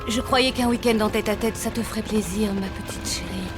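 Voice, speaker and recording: A woman's voice: medium pitch, fairly even intonation, fairly quick, lightly acted. A woman voicing a tender, attentive mother in a cartoon. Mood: concerned. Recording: loud music behind the voice.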